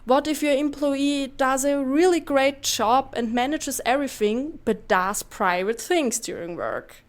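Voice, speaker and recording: A young woman in her twenties speaks with an Austrian accent. Her voice is high, and she delivers a prepared listener message in careful speech. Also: measured pace. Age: 20s.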